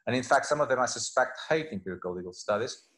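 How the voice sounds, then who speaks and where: A middle-aged man from Portugal, a native Portuguese speaker with a Portuguese accent, giving halting, conversational interview answers as a professor on a video call. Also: medium pitch, expressive, fairly bright tone. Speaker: law professor, interview guest. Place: indoor room, video call. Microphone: wired earphones on a video call.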